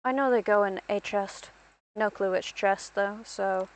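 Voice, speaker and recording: A woman's voice: medium pitch, unhurried, dry recording, poor audio. A young woman from Ireland rambling through casual gameplay commentary as a streamer, self-deprecating and talking to herself.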